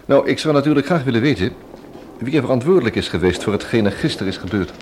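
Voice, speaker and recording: An actor playing a polite, inquisitive detective inspector in a Dutch radio play. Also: male voice, medium pitch, animated, fast, darkish tone, slight background noise, natural voice. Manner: courteous, inquisitive. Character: brisk, polite Scotland Yard detective inspector.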